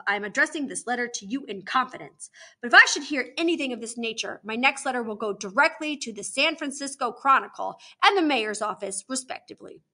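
A pompous society lady from a storybook, put on by a woman narrator, speaks with stiff, indignant formality. The voice is high, bright and sharp, with emphatic stresses.

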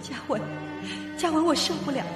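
An actress in a radio drama playing a fragile wife, distraught and wracked with guilt. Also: female voice, high voice, brisk, natural voice. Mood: anguished, pleading. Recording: echoey reverberant hall, distant, loud music behind.

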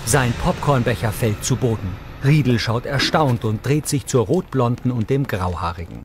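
A man's voice: medium pitch, animated, normal speed, music in the background. A man narrating scripted audio description in a neutral, factual manner.

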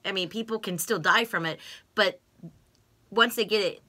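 A middle-aged woman with an American accent talks in a relaxed, chatty way, leaning on repeated words for emphasis. Her tone is bright and forward, at an ordinary middle pitch. She sits at a desk mic in a small home room. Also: normal speed. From United States. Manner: expressive, gestures with hands, emphatic repetitions. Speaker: interviewee, co-host. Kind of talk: casual conversation. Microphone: desk microphone on stand.

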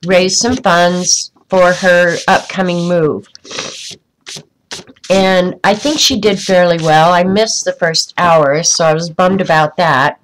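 Rommelige, verkouden vrouw die live knutselt. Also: female voice, fairly low pitch, low-quality recording. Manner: rambling, many ums, chatty asides to chat viewers. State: stuffy, congested. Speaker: crafter livestreaming to viewers. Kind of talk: casual live craft stream chat. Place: indoors at a craft desk.

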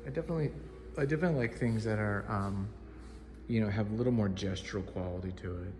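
A man giving low-key, casual commentary as an artist walking through an art gallery, often trailing into murmured asides. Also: quick pace, darkish tone, a little hiss or hum. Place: large indoor art gallery with hard floors.

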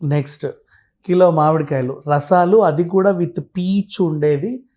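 A man's voice: a little high, a little slow, darkish tone. A man with a Telugu accent talking casually and explaining things through everyday examples and lists, mixing in English words.

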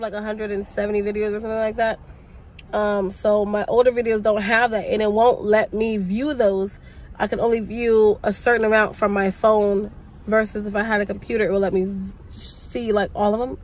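A young woman talks into a phone held at arm's length inside her parked car. Her voice is congested from a cold. She rambles in a chatty, casual way, full of slang. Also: medium pitch. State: sick, congested voice. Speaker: vlogger. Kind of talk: casual chat to camera. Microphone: phone camera at arm's length.

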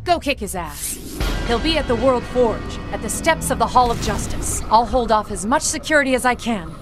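A woman acts a bitter, commanding mother in a game drama. Her voice sits a little high and pushes with urgency. Music plays faintly underneath. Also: animated, normal speed, lightly acted. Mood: urgent, commanding. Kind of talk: dramatic video game cutscene acting.

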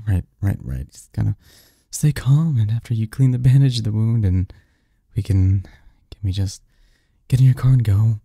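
A man acting a snarky, cynical young research assistant who gets flustered, in an intimate audio roleplay with a husky, halting delivery. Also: on the low side, mellow, dry recording, studio quality. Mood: pained, panicky.

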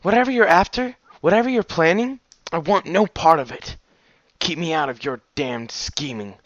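A man's voice: a little high, normal speed, pushed and bright, no echo, muffled recording, voice pushed up. A man voicing a fiery, defiant young mare, a grown daughter who speaks with biting indignation in an audiobook-style story. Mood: bitter.

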